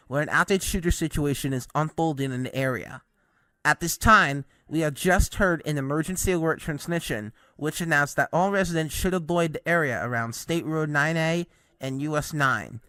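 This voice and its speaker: Man acting a bright-voiced morning news anchor. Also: fairly high pitch, moderate pace, no echo. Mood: serious. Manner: formal, announcer-like. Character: male morning TV news anchor breaking grave news. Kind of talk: news presenting, amateur drama.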